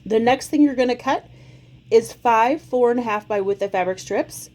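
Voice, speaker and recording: A woman in her forties, a little under the weather with a touch of a cold, talks through quilt cuts in a chatty, friendly way. Her voice sits a bit on the high side, and she guides viewers patiently through each step in a small home sewing room. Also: normal speed, a little mellow. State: slight cold, occasional cough. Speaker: quilting channel host. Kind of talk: casual sewing tutorial.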